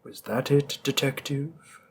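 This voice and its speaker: A man playing a gravelly flirty mafia boss. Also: mid-range voice, steady tone, full character voice. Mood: teasing. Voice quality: gravelly, husky. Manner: teasing, slow and seductive, dropping into whispers. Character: flirty smooth-talking male mafia boss. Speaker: audio roleplay performer. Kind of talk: audio roleplay acting.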